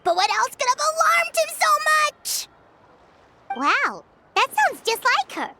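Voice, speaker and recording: Very squeaky, cheeky little game imp. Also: female voice, very animated, a little slow, very noisy, full character voice. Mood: worried. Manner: theatrical, melodramatic taunting. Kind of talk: video game character acting. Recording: music behind.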